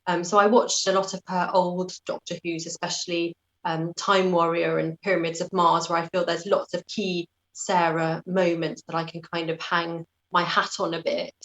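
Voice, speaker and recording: A woman's voice: on the low side, flat delivery, normal speed, a bit bright, muffled recording. A British woman answering as an interview guest in a conversational, thoughtful, self-effacing way.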